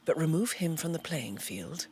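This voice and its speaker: A woman's voice: sits low, expressive, moderate pace, a little hiss or hum. A woman voicing a cool, calculating female spymaster handler in a video game cutscene, composed and persuasive, at times near a whisper. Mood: conspiring. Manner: composed and persuasive, quietly resolute.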